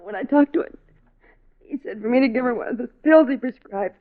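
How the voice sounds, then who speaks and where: Woman acting a distraught wife, high strained voice, vintage radio drama, tinny narrow sound. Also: a little fast, lightly acted. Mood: weary, bitter. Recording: tinny, noisy old radio recording.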